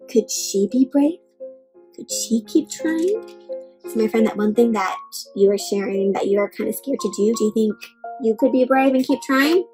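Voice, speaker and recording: A woman with a distinctly high-pitched voice reads to young children in an interactive storytime style. She is expressive and encouraging, speaking in simple, child-directed phrases and inviting listeners to answer. Faint music accompanies her voice. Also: low-quality recording. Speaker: children's read-aloud host. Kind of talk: interactive picture-book read-aloud to children.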